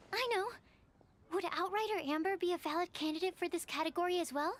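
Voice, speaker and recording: Very high-pitched, polite bookish young scholar. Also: female voice, normal speed, very noisy. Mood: surprised. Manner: earnest, careful, hesitant, explanatory. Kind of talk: scripted video game dialogue. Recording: music behind the voice.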